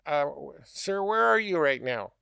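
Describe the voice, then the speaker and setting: A man's voice: on the high side, very animated, unhurried, creaky, pushed and bright, clean tone, no room sound, studio quality, exaggerated voice. A man playing a stern, insistent male police officer with a formal, commanding way of talking, in a prank retelling. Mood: stern, officious. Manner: formal, commanding, repeatedly says "sir".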